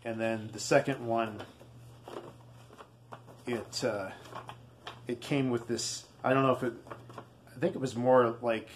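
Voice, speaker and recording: Man, medium pitch, casual demo style, halting, rambling, easygoing. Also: steady tone, slight background noise. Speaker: video host. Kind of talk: casual product demonstration.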